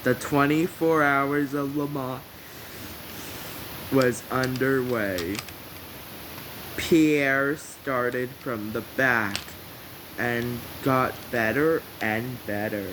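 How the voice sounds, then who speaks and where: Story narrator, very slow and halting, mid-range voice, noisy hissy home recording. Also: medium pitch. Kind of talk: scripted story narration, read aloud slowly with pauses.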